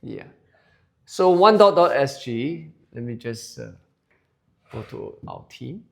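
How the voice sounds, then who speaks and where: A man's voice: mid-range voice, animated, slow, gravelly, fairly bright tone. A man in his forties with a Singaporean English accent, giving a chatty sales presentation to a room of listeners and polling them with questions. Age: 40s. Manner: chatty, polls the crowd and tags lines with "okay?". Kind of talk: sales presentation to a live audience. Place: seminar room with an audience.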